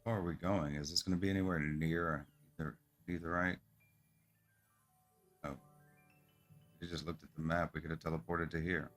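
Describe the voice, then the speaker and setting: A man's voice: a bass voice, steady tone, moderate pace, long pauses, a bit bright. A man streaming a game, reading its text aloud and muttering casual asides. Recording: music behind the voice. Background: faint game music.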